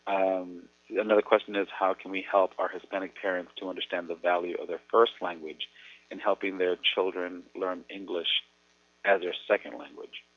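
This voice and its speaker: A Mexican American man, an educator, explaining things earnestly in a measured way with thoughtful pauses. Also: sits low, little pitch movement, no room sound. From United States. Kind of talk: explanatory talk on radio. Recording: tinny phone-like radio audio.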